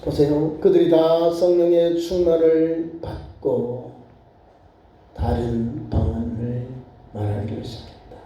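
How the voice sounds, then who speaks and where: A man preaching a sermon as pastor, acting out crowd voices in lively storytelling. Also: on the high side, slow pace, muffled recording, a little hiss or hum. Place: church sanctuary. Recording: echoey church room.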